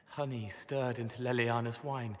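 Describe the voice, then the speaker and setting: An actor voicing a gentle young spirit in a fantasy game, speaking in cryptic, poetic fragments. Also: male voice, medium pitch, steady tone, moderate pace, in a large hall, natural voice. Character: gentle, otherworldly young male spirit boy.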